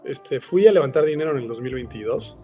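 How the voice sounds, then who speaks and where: A man's voice: mid-range voice, expressive, brisk, warm tone, faint music behind. A man with a Mexican accent, a company founder speaking as a guest in a casual interview, rambling with lots of filler words. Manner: rambling, frequent "este" fillers.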